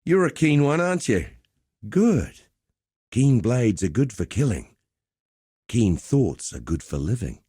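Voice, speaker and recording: A man's voice: mid-range voice, big pitch swings, a little slow, pauses often, warm tone, slightly rough, no echo, studio quality. A man playing a cocky, easygoing gladiator master in a video game, teasing with wry, conversational swagger. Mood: wry, instructive. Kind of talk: video game character dialogue.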